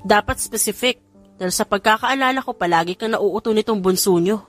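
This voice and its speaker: A woman's voice: mid-range voice, brisk, soft background music, lightly acted. A woman with a Filipino accent voicing a sassy, indignant youngest sister in an audio story, bratty and challenging.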